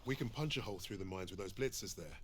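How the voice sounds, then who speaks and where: A man acting a resolute British army sergeant who leads commandos in a war game, speaking in a measured, commanding way with a British accent. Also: deep voice, slight background noise, lightly acted. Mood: focused, commanding.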